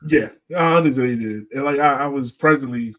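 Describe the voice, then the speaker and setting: A man's voice: medium pitch, brisk, a bit bright, recorded from a distance. A man co-hosting a sports podcast, chatting casually and telling stories in slangy, acted-out quotes. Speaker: podcast co-host.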